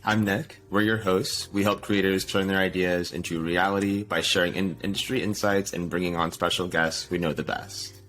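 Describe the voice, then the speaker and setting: A man's voice: low voice, steady tone, normal speed, few pauses, fairly bright tone, faint music behind. A man co-hosting a podcast, reading out a scripted introduction for the show. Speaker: podcast co-host.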